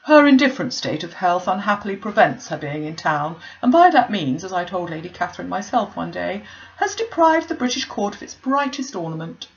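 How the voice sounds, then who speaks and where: A pompous young clergyman, voiced by a woman reading aloud, holds forth at length in fawning, self-important phrases. The voice rises and falls in a lively way, and the recording sounds dull and lo-fi. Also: lowish voice, brisk. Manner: pompous, long-winded, flattering.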